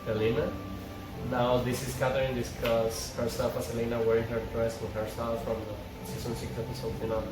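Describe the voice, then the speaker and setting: A man's voice: medium pitch, monotone, a little fast. Man reading aloud in an echoey, distant recording. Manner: informal narration, stumbling over written words. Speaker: reader of stage directions and dialogue at a script table read. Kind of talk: casual script read-through with side comments. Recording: very echoey, distant mic, background music. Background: music.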